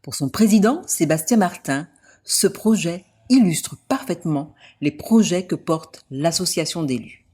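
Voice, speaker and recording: Low, mellow, husky woman narrating. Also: expressive, unhurried. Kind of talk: scripted report narration.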